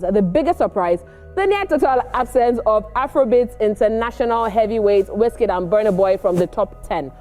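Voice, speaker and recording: A woman with a Nigerian accent presenting entertainment news and casual commentary, expressive and emphatic in her delivery. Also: on the high side.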